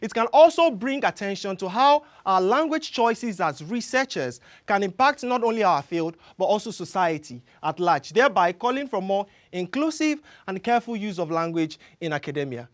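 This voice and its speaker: A young Nigerian man whose first language is Yoruba, giving an earnest, rehearsed research pitch on a studio stage. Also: sing-song. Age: young adult. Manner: earnest, measured, emphatic. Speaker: graduate student presenter. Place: large indoor studio stage.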